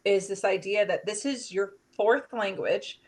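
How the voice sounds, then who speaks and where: A woman's voice: mid-range voice, unhurried. A woman hosting an interview who is also a teacher, speaking with enthusiasm and acting out what other people say. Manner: enthusiastic, animated, acts out quotes. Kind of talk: interview conversation.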